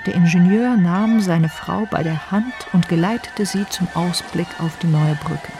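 A woman's voice: on the low side, unhurried, music in the background. A woman narrating a literary radio play as a composed storyteller.

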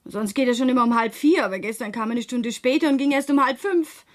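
A woman's voice: fairly high pitch, fast, close to an everyday voice. A woman acting a distressed, defensive mother who works as a prostitute, in a plaintive manner, in a radio crime drama.

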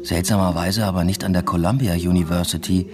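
Actor as low-voiced, introspective young male student narrator. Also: fairly even intonation, fairly quick, lightly acted. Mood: pensive, subdued. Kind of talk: literary radio drama narration.

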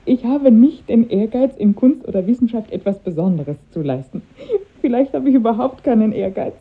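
An actress playing a lively, emotional young wife with a breathy voice in a 1950s German radio drama. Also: female voice, fairly high pitch, moderate pace. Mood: excited, amused. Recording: thin, muffled old radio recording.

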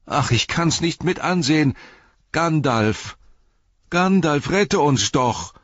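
A man voicing a frightened, excitable young hobbit in a storybook reading, with urgent, breathless exclamations. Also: fairly high pitch, takes it slowly, no echo, dull audio, pitched above the performer's own voice. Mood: alarmed, pleading.

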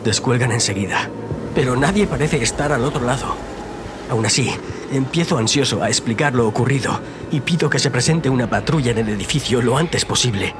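A man's voice: mid-range voice, animated, brisk. A man narrating a first-person horror story in a dramatic, suspenseful, theatrical manner. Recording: loud music under the voice. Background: loud music.

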